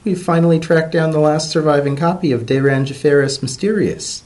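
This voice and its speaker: A man voicing an earnest, excitable female pony professor who lectures pedantically in a fantasy story reading. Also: a little high, a little fast, voice pushed up, close to an everyday voice. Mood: eager, scholarly.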